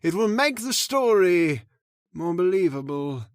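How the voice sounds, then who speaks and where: Guy doing a grave old caretaker in a radio play, slow and ominous, dry studio sound. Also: high-pitched, big pitch swings, dry recording. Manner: slow, ominous, measured delivery. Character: grave, solemn male storyteller of the house. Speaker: Mister Roberts, old manor caretaker telling the legend. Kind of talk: old-time radio drama acting.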